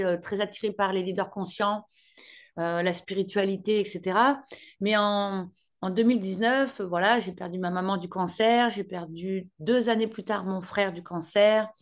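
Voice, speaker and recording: A woman in her forties, from northern France, speaks as a guest at an online meeting. Her voice sits in a middle pitch. She is chatty and friendly, and she speaks straight to her listeners. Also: steady tone, moderate pace. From northern France, Picardy. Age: 40s. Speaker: invited guest speaker, business mentor. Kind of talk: casual warm talk to an online group.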